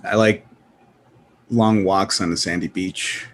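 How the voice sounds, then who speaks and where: A man's voice: deep voice, steady tone, takes it slowly, slight background noise. A man in casual panel chat with a joking, irreverent manner.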